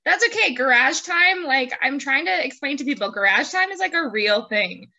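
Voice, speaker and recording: A woman with a fairly high, bright voice hosts a casual video chat. Her pitch moves around in a lively way, and she talks playfully, joking and saying "like" often. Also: moderate pace, muffled recording. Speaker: host, interviewer. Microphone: video call.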